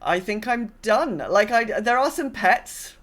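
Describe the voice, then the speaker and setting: A woman's voice: fairly high pitch, animated, unhurried, fairly bright tone. A woman co-hosting a casual gaming podcast, speaking in a wry, understated and somewhat hesitant way. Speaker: podcast co-host.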